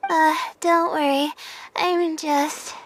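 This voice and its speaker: Anxious young female student, very high voice, hesitant, weary, timid, anime game character. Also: level tone, takes it slowly, a bit bright, slight background noise. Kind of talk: anime-style game voice acting.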